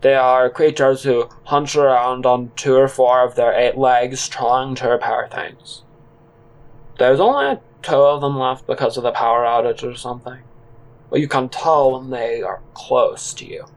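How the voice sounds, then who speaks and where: A man playing a disgruntled former fast-food worker, rambling with halting, deadpan earnestness in an audio drama monologue. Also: mid-range voice, natural voice, poor audio. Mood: deadpan, musing. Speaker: narrator and character in a solo audio drama.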